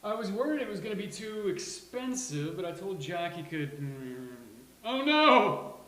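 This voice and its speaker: A man's voice: sits high, very animated, a little slow, bright, forceful tone, full character voice. Man playing wry sitcom guy, hissy echoey room. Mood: apologetic. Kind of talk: sitcom script table read. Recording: hissy, roomy live recording.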